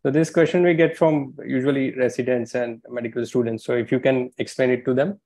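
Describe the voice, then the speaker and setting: A man's voice: mid-range voice, fairly even intonation, a little fast, warm tone. A man in his thirties with an Indian accent, moderating a medical panel over a video call.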